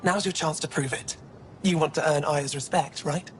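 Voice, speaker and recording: An actress playing an earnest, hopeful alien archaeologist in a video game. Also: female voice, normal speed, close to an everyday voice. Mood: sincere, persuasive. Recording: clear background noise, low rumble.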